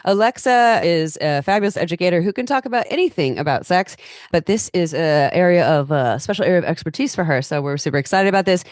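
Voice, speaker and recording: A woman's voice: fairly low pitch, expressive, quick pace, dry recording, studio quality. A woman hosting a podcast, introducing her guest in a bubbly, chatty way. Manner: bubbly, chatty, many fillers. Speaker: podcast host. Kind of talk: casual podcast chat.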